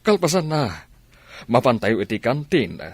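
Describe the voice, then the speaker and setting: A man's voice: mid-range voice, big pitch swings, normal speed, no echo. An actor with an Ilocano Filipino accent playing a courteous, flirtatious businessman in a radio drama. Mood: apologetic, helpful.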